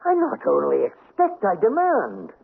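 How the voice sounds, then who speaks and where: Haughty, gravelly, high-voiced count. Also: male voice, huge pitch swings, unhurried, full character voice. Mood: domineering. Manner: pompous, imperious. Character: haughty, petty, domineering French count and husband. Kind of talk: vintage radio drama acting. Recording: tinny old radio recording.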